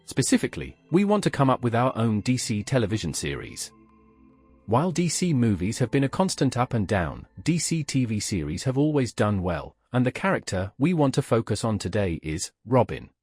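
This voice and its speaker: A man narrates a scripted pop culture explainer as its host. His voice sits at a medium pitch and moves with animated intonation. Faint music plays behind him. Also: fairly quick, slightly rough.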